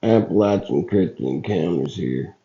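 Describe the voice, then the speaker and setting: A man with a rough, scratchy, hoarse voice, recovering from illness, giving casual commentary on footage as a channel host in a folksy, halting, colloquial way. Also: low voice, lively intonation, warm tone. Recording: dull lo-fi recording.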